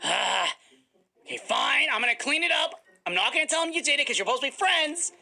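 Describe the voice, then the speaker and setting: A woman playing an excitable, bossy animatronic fox, flustered and dramatic, in an improvised game roleplay. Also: sits high, brisk, vocal fry, exaggerated voice. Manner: flustered, dramatic, stammering denials.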